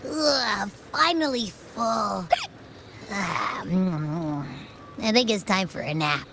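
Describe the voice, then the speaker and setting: A woman's voice: medium pitch, expressive, takes it slowly, noisy background. An actress playing a languid, indulgent young woman in a video game. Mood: sated, drowsy.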